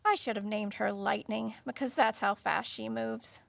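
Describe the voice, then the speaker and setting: An actress playing a terse, no-nonsense dog handler in an audio drama, clipped and matter-of-fact. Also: female voice, a little high, steady tone, normal speed, vocal fry, no room sound. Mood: brisk, guarded. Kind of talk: naturalistic audio drama acting.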